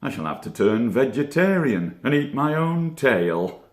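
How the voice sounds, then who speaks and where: A man's voice: mid-range voice, sing-song, unhurried, pitched above the performer's own voice. A man voicing a grumbling, self-pitying fat badger in a children's storybook, complaining theatrically. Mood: grumbling complaint. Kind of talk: storybook character voice.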